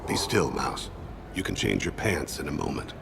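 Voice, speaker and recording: An actor playing a solemn, regretful alien assassin and father in a video game, speaking gravelly in a measured, formal way. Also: a bass voice, lively intonation, exaggerated voice. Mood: grave, calm. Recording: clear background noise and ambience.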